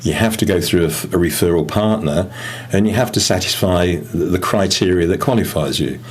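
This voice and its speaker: A man's voice: deep voice, brisk, husky. A man with a British accent giving a matter-of-fact, methodical explanation in an interview as a volunteer. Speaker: food bank volunteer, interviewee.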